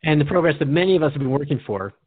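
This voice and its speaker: A man's voice: fairly high pitch, lively intonation, very fast, no echo. A male radio host in a dramatized dialogue, earnest and reflective as he questions his partner. His intonation moves up and down a lot. The sound is close and dry, slightly dull and lo-fi.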